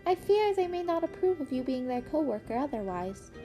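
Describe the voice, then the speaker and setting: A woman voicing a small, cheerful male principal in an amateur audio story, polite, brisk and emphatic, with music underneath. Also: high voice, sing-song, mellow, pitched above the performer's own voice.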